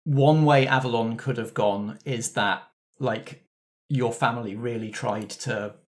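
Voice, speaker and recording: A man's voice: medium pitch, steady tone, measured pace. A man talking as a game master in a casual backstage discussion, explaining things thoughtfully. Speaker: game master of a tabletop roleplay show.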